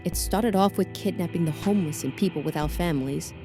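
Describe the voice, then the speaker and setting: A woman's voice: on the low side, a little fast, lightly acted. A woman playing an earnest, conscientious lab assistant in her thirties in an audio drama, speaking formally. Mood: concerned. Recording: music behind the voice.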